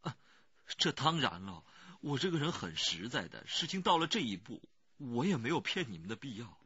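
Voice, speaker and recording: A man's voice: mid-range voice, sing-song, moderate pace, sharp tone, slightly rough, no room sound, highs cut off, exaggerated voice. An actor playing an anxious rural working man who pleads earnestly and hesitantly in a radio crime drama. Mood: defensive, earnest.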